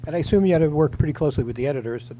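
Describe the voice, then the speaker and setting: A man moderating a stage conversation, chatty and quick with his questions, on a handheld mic. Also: on the high side, mellow, slight background noise, low-quality recording. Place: theater stage before an audience. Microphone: handheld microphone.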